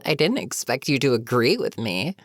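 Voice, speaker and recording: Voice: mid-range voice, very animated, normal speed, fairly bright tone, no room sound, clean studio sound. A performer voicing a weary goblin captain in a roleplay audio, chatting casually and sardonically with a captive, amused yet businesslike. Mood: dry mockery. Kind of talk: immersive character roleplay monologue, conversational.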